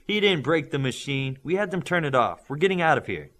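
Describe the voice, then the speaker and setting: A man's voice: on the high side, big pitch swings, a little fast, fairly bright tone, pitched above the performer's own voice. A man reading an audiobook, voicing an eager young man showing friends his city.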